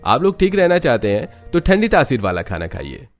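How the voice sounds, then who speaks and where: A man's voice: medium pitch, sing-song, normal speed, soft background music, natural voice. An actor playing a concerned, reproachful man in a cartoon family drama, questioning and scolding.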